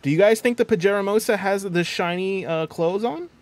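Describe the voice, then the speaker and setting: Chatty gaming streamer, man, fairly high voice, playful and upbeat. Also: brisk, soft background music. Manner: chatty, playful, reads chat aloud. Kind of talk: casual live-stream chat while gaming.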